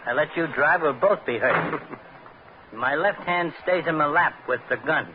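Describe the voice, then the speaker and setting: Guy acting a dumb old-radio thug, kinda high voice, tries to talk fancy and botches it, muffled noisy recording. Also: moderate pace, close to an everyday voice. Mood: gruff, smug. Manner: mangled grammar, formal malapropisms, blunt threats. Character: dim-witted hoodlum henchman with pretensions of politeness. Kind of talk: 1940s-50s radio acting.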